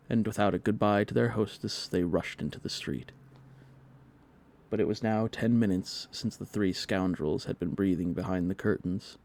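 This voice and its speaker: A man's voice: fairly low pitch, dark tone. A man reading a children's story aloud as an audiobook narrator, in a scripted storytelling style.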